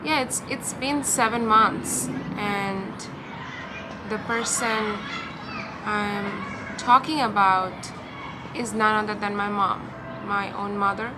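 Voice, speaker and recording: A young woman speaks with a Bangladeshi accent at a middling pitch, confiding in a halting, personal way as if to viewers she trusts. She is recorded outside in a park, with clear ambient and vehicle noise around her voice. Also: steady tone. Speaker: vlogger. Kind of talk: personal talk-through to camera. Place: outdoors in a park. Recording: outdoor park noise, distant traffic.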